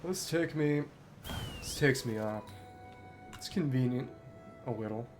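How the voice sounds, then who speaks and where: Very low-voiced woman gamer, musing aloud. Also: a little slow, music in the background. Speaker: streamer playing and commenting on a video game. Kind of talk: casual gameplay commentary mixed with reading dialogue aloud.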